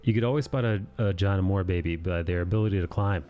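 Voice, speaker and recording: A low-voiced man hosts a casual live stream, trading jokes with friends and laughing easily. Faint music plays underneath his talk. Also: fast talker, phone-quality sound. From United States. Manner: jokey, puts on voices to mimic friends, laughs often. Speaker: streamer host chatting while drawing. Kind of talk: casual chat among friends.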